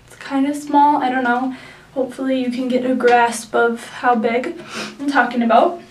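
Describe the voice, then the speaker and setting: A woman's voice: high voice, level tone, normal speed, distant mic, a little hiss or hum. A young woman with a nasal voice from a sinus infection, chatting through a hair tutorial with many likes and ums. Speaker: beauty vlogger. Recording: echoey room, mic set back.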